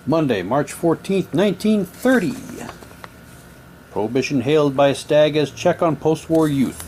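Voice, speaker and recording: A man casually reading printed material aloud in a halting way, with muttered asides to himself. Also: mid-range voice, a little mellow, a little hiss or hum. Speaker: unboxing presenter. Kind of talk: casual unboxing, reading old newspaper props aloud.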